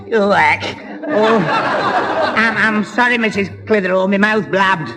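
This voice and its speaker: A man's voice: sits high, takes it slowly, a loud crowd, very noisy. An actor playing a dim but good-natured young Northern English man in a radio sitcom, muddled and stammering. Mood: sheepish, amused. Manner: gormless, stammering, confused, giggly. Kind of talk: 1960s radio sitcom acting.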